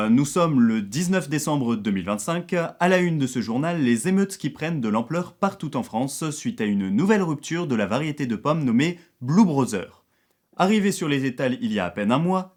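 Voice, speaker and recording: A man's voice: on the high side, a little mellow. A man playing a formal television news anchor in an audio drama. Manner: formal, announcing. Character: male TV news anchor, formal, secretly craving the apples. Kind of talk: French news bulletin acting in an audio drama.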